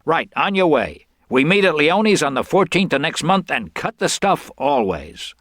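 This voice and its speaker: A man's voice: fairly high pitch, very animated, a bit bright, no echo, clean studio sound, voice pushed up, exaggerated voice. A man voicing a brash, slick con man who talks in slangy, pushy bursts in a storybook reading. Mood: triumphant, bossy. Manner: slangy, fast-talking, pushy.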